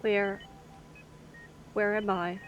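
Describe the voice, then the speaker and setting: A woman's voice: mid-range voice, little pitch movement, drawn-out words, highs cut off. A woman playing a frank, rueful cargo captain in an audio drama, talking in a matter-of-fact way. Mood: dazed.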